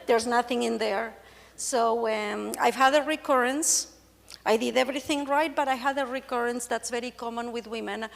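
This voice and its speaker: A woman's voice: fairly high pitch, fairly even intonation, moderate pace, gravelly. A woman in her forties from Argentina with an Argentine accent, Spanish as her first language, giving a candid, joking conference presentation as a patient speaker. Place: stage in a large hall. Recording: long hall reverb.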